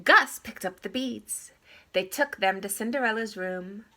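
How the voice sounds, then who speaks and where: A woman narrating a children's story aloud in an expressive, theatrical way. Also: mid-range voice, unhurried. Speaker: storybook reader.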